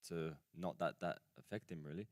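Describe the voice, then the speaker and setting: A man's voice: low voice, fairly quick, darkish tone, no room sound, studio quality. A young man with a mixed English and Australian accent, chatting casually as a football guest on a radio show. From England. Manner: laid-back, slangy, trailing fillers. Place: radio studio.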